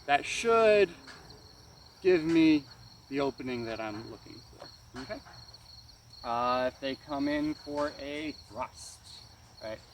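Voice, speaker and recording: A man's voice: medium pitch, animated, takes it slowly, long pauses. Distant-miked male martial arts instructor outdoors. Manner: checks understanding often, punctuates moves with exclaimed sound words. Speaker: karate weapons instructor. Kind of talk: casual hands-on demonstration lesson. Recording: distant mic, noisy outdoor ambience with insects.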